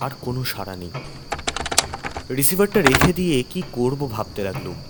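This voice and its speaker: A man's voice: mid-range voice, very animated, brisk, heavy background noise. A man narrating a horror story in the first person as a doctor, theatrical and suspenseful.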